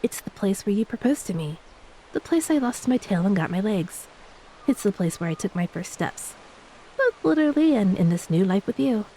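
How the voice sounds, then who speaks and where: A woman playing a gentle, playful ex-mermaid wife in a romantic audio roleplay, speaking tenderly and teasingly to a beloved spouse. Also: medium pitch, normal speed, natural voice, poor audio. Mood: tender, grateful. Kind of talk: romantic audio roleplay, intimate scripted acting. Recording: steady hiss behind the voice.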